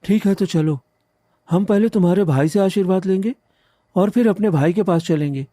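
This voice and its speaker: Man playing a muffled, high-voiced earnest young bridegroom. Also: moderate pace, mellow, no echo, clean studio sound, close to an everyday voice. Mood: devoted. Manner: earnest, pleading. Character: devoted young village bridegroom, earnest and respectful. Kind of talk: Hindi animated moral story dialogue.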